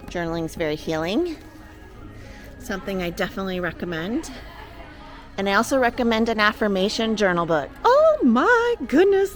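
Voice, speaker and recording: A woman with a mid-pitched voice talks to viewers in a casual shopping vlog. She gushes with enthusiasm as a co-host would. Music plays clearly behind her. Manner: gushing, enthusiastic.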